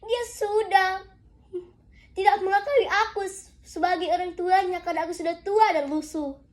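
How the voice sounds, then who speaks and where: Poor old widowed mother in a folktale, played by a female storyteller: high-pitched, long-suffering, pleading. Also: normal speed. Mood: anguished prayer. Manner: plaintive, pleading.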